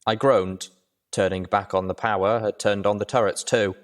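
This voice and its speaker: A man's voice: lowish voice, fairly even intonation, moderate pace. A man reading scripted adventure fiction as the narrator.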